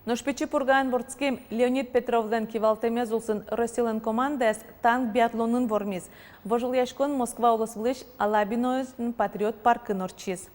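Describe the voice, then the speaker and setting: A woman reading the regional news as an anchor in a steady, scripted delivery. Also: on the high side, normal speed. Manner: steady, even newsreader delivery. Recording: long reverb, faint noise.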